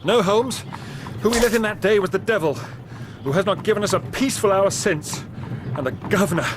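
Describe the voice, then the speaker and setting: A man portrays a distraught young English gentleman in a radio play. His upper-class British voice sits high and sounds agitated and well-bred. There is noticeable noise behind him. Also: a little fast, lightly acted. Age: young adult. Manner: agitated, hesitant, well-spoken. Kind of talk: radio drama acting.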